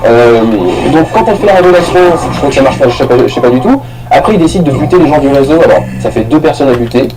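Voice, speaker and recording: A man's voice: mid-range voice, animated, fast, music in the background, rough recording. A man giving a casual, rambling film review, full of restarts and slang.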